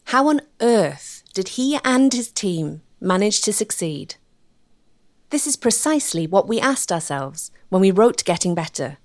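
A woman delivering scripted documentary narration. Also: mid-range voice.

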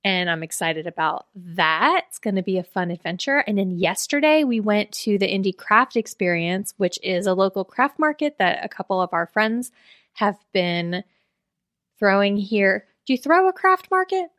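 Raspy-voiced woman in casual chat. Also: mid-range voice, sing-song, moderate pace, fairly bright tone, no echo, studio quality. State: raspy from allergies. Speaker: podcast co-host.

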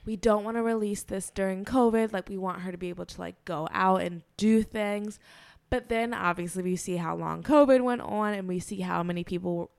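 A woman co-hosts a chatty pop-culture podcast in a smooth, resonant voice at a middling pitch. She is nursing a cold and talks in a loose, fast-flowing way, full of fillers and asides. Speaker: podcast co-host. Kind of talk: casual pop-culture chat.

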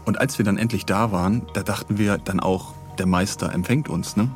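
A man with a fairly low voice hosts a podcast in a chatty style. He tells his tale casually and with humor, over faint music. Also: brisk. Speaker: podcast host.

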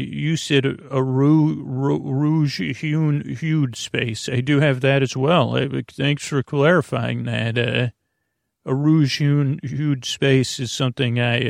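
A man with a creaky, gravelly voice hosting a bedtime podcast in a soothing, halting, rambling monologue that drifts into tangents. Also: medium pitch, no echo, clean studio sound. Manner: meandering, halting, stop-and-start, trailing off into tangents; soothing, lulling. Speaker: podcast host.

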